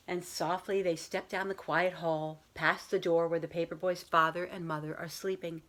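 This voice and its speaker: Woman, slightly low voice, children's story read-aloud, school librarian, simple explanatory phrasing. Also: little pitch movement, moderate pace, a bit bright. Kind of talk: children's picture book read-aloud with chat to young listeners.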